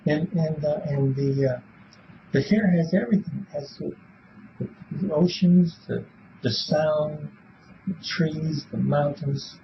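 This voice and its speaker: A man in his seventies answering questions in an interview, speaking casually and haltingly, repeating words and trailing off. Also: on the high side, vocal fry. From born in Belfast, Northern Ireland; raised in Illinois from age seven. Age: 70s. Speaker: interviewee in a police polygraph interview. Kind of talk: casual, rambling spoken answers. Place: small police interview room. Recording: muffled, noisy interrogation room recording.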